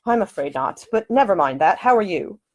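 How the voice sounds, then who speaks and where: Woman voicing a gallant, protective gentleman. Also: medium pitch, lively intonation, a little fast, natural voice. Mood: tender, rueful. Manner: formal, courtly speech. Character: gallant, protective young lord, tender but commanding.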